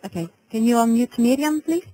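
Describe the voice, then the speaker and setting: A woman's voice: fairly high pitch, expressive, a little fast, mellow, rough recording. A woman speaking as the host of an online webinar, presenting to participants.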